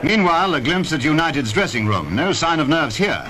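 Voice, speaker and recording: A man's voice: fairly high pitch, big pitch swings, fairly quick, poor audio. A man with a British accent giving formal, clipped scripted sports narration as a 1950s newsreel commentator. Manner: formal, clipped announcer delivery. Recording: vintage 1950s newsreel, loud music behind. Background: loud music.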